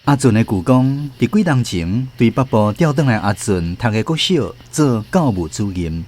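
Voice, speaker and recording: A man's voice: mid-range voice, expressive, normal speed, darkish tone, natural voice. A man with a Taiwanese accent narrating a radio drama.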